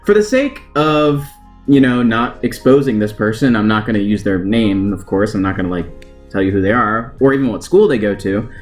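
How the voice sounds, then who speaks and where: A man's voice: on the low side, normal speed. A young adult American man telling personal stories in a casual, chatty, self-deprecating and joking way. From United States. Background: guitar music.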